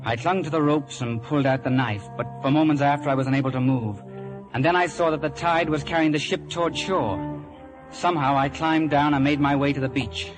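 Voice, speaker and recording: A man's voice: fairly high pitch, lightly acted, rough recording. Man as earnest adventure narrator over music. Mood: tense. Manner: earnest, measured storytelling. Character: grown narrator recalling his boyhood pirate adventure. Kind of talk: dramatic scripted narration.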